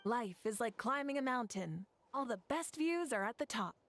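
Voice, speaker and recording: A woman's voice: fairly high pitch, expressive, normal speed, a bit bright, soft background music. A woman playing a casual, teasing adult character in a fantasy video game, with a playful delivery.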